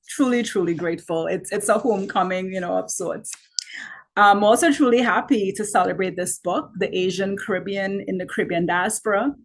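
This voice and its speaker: A Trinidadian woman with a Trini accent giving an earnest academic talk on a webinar, with frequent "you know" fillers. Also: lowish voice. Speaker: guest speaker, academic editor. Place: online webinar.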